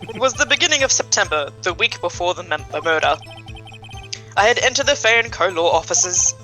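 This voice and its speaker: A woman's voice: fairly low pitch, animated, a little fast, pushed and bright, faint music behind, rough recording. A woman voicing a pompous, vain businessman on the witness stand in a live game dub, with affected, wordy delivery. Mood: self-assured.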